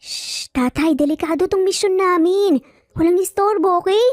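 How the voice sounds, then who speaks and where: A woman's voice: very high voice, normal speed, darkish tone, no echo, studio quality, pitched above the performer's own voice. A woman voicing cheeky, earnest six-year-old boys in an audio story, with exaggerated childlike speech. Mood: bossy, earnest. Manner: exaggerated childlike speech, playing little detectives.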